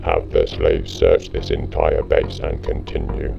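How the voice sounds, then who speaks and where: A man's voice: very deep voice, monotone, a little slow, vocal fry, muffled recording, over music, full character voice. A man playing a cold, ruthless Dalek commander in an audio drama, clipped and commanding, through a robotic filter. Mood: barking orders. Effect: robotic ring-modulated voice filter.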